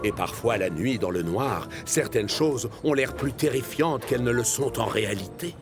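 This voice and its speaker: A man reading scripted French narration for a children's cartoon, expressive, as if telling kids a story. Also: mid-range voice, moderate pace. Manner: expressive, addressing children directly. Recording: music behind the voice.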